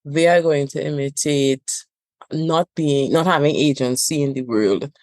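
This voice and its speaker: A woman's voice: sits low, measured pace, darkish tone, no echo, studio quality. A woman from Trinidad and Tobago with a Trinidadian accent, speaking as a therapist in a podcast interview, earnest and emphatic, repeating phrases for stress. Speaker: couples therapist and trainer. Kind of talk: reflective podcast interview talk.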